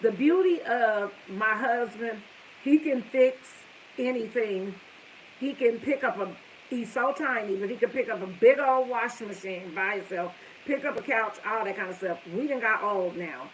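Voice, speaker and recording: A woman's voice: fairly high pitch, normal speed, slight background noise, rough recording. A woman in her fifties tells a story to her viewers in a chatty, rambling way, often breaking off to talk to her family. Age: 50s. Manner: chatty, wanders off topic, talks to viewers and family. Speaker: live streamer telling a story.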